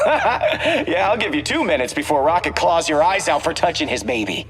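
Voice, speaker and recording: An actor playing a cocky, goofy, wisecracking space pilot in a video game, flippant and very expressive. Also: male voice, a little high, normal speed, a little hiss or hum. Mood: breezy. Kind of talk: video game character dialogue. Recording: long reverb, faint music.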